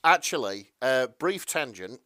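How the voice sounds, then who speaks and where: Male co-host for loose podcast banter, halting and sweary, dry close sound. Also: mid-range voice, very animated, pushed and bright, no echo. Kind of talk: casual chat.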